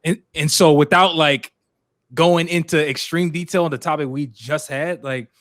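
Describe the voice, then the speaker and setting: A man's voice: on the high side, fairly even intonation, normal speed, a bit bright, no room sound, clean studio sound. A man in his thirties hosting a casual podcast, asking loose, filler-heavy questions. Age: 30s. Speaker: podcast host.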